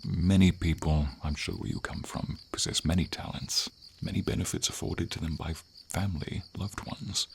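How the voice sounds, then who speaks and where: A man voicing a gentle, wise dragon in a fantasy ASMR roleplay, speaking formally in slow, measured phrases with thoughtful pauses. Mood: encouraging, earnest. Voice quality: very deep, gravelly. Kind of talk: ASMR fantasy roleplay, scripted character acting. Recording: steady hiss of rain and insects behind. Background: light rain and night insects.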